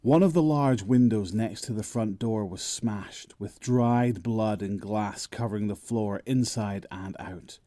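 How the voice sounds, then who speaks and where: A man narrates a dramatic scripted story. His voice is fairly low and soft in tone, recorded dry with no room sound. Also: a little slow, few pauses, no echo.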